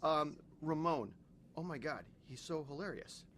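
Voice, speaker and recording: A man's voice: a little high, a little slow, a bit bright. A man interviewing a guest in a casual, upbeat way, laughing along. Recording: faint background noise.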